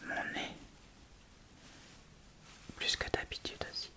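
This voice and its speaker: A woman speaks entirely in a breathy whisper, as an ASMR creator does. Her pace is very slow and unhurried. She softly talks through a makeup routine step by step. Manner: soft, unhurried, step-by-step narration of own actions.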